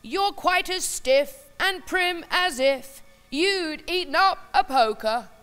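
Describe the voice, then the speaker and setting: A woman's voice: very high-pitched, expressive, slow pace, a bit bright, in a large hall, voice pushed up, heavily acted. A woman voicing a boastful, foolish male china clown from a storybook, teasing in exaggerated rhyme. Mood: cheeky, boastful. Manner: teasing, exaggerated rhyming delivery.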